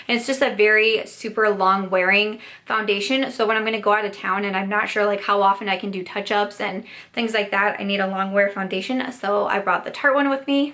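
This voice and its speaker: A young woman vlogs about her makeup with a voice gone hoarse and raspy. She chats along brightly and casually, tossing in plenty of "like". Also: mid-range voice. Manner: bubbly, upbeat, lots of "like". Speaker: beauty vlogger. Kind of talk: casual chatty vlog.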